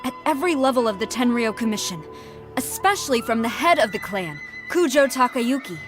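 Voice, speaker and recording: Actress as a stern female general, fairly high voice, formal, commanding, proud, anime game, music behind. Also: lively intonation, brisk, close to an everyday voice. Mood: indignant. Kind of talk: anime video game voice acting.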